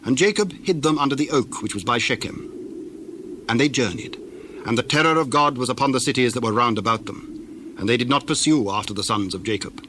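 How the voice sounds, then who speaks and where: A man narrating scripture from a script in a formal, measured storytelling manner. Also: mid-range voice, a little hiss or hum.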